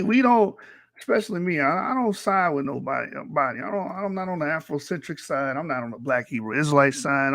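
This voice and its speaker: Young male co-host, bright and a bit high, dry close sound, mocking and slangy. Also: dry recording. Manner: laughs often, trash-talking, loose slang. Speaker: co-host of a reaction show. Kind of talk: casual mocking commentary.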